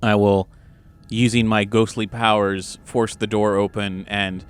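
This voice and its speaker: A man's voice: lowish voice, level tone, measured pace, clear, resonant tone. A man chatting casually as a tabletop game player, improvising in a wry, hesitant, sweary way.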